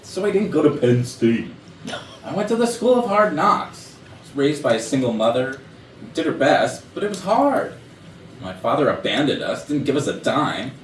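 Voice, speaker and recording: A man's voice: mid-range voice, very animated, moderate pace, natural voice. Wildly swooping, defensive male executive played by a man. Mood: indignant. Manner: indignant, self-justifying, emphatic. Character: defensive, blustering male office executive under suspicion. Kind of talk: staged reading of a murder mystery comedy. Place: rehearsal room for a staged reading. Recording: echoey room, distant mic, background noise.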